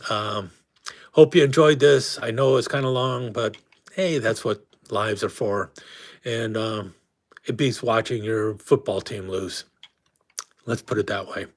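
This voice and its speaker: A man's voice: fairly low pitch, measured pace. A man hosting a casual live stream who is losing his voice, talking in a rambling way with many restarts. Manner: rambling, frequent restarts and fillers. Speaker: host.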